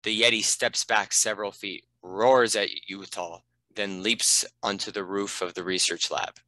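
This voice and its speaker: Male narrator for script directions, deep and crisp-edged, scripted delivery. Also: fairly even intonation, unhurried, sharp tone, no room sound, rough recording. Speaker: narrator reading stage directions.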